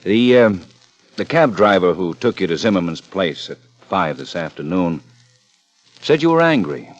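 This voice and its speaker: Casting: probing, unhurried lieutenant in vintage radio crime drama, low and expressive, dull sound. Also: male voice, soft background music. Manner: casual, deliberate, hesitating between questions. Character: calm, probing male police lieutenant. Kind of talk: 1950s radio police drama acting.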